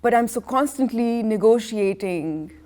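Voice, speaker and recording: A woman's voice: on the high side, animated, measured pace, mellow. Rambling woman artist, native Farsi speaker. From Iran and Pakistan. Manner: rambling, full of restarts and "you know". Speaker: artist, interview guest. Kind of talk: casual conversational storytelling. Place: art gallery.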